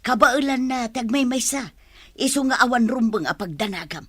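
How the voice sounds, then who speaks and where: A woman's voice: fairly high pitch, moderate pace, fairly bright tone, no echo. A woman playing a blunt, grumbling village grandmother in a radio drama, with an Ilocano accent. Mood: brisk. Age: older. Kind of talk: Filipino radio drama acting.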